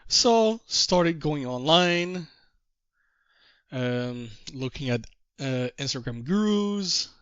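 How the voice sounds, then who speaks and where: A man with a French Canadian accent, a native French speaker, presenting to a group call in halting English and telling his story with repeated refrains. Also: a little high, animated, fairly bright tone, smooth, no room sound, muffled recording. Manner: self-deprecating, halting English. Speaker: trading course presenter.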